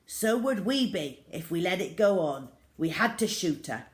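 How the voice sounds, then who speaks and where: A woman voicing a stern, blustering farmer father in a children's story, forceful and emphatic. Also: fairly low pitch, big pitch swings, a little slow. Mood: defensive.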